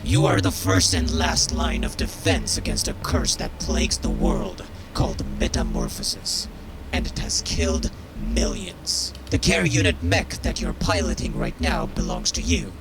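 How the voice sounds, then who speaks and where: A man's voice: sits low, huge pitch swings, a little slow, vocal fry, noisy background, heavily acted. An actor playing a stern, jaded military sergeant in a game, cold, contemptuous and authoritative as he drills a recruit. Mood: instructive, stern. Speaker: game character voice. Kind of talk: scripted game dialogue.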